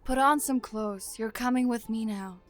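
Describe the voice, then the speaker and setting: An actress as a young woman from a pop group in an audio drama, pitched a little high, bossy and short on patience. She gives curt commands over background music. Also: moderate pace, lightly acted. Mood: stern. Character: bossy, quick-tempered young female pop group member.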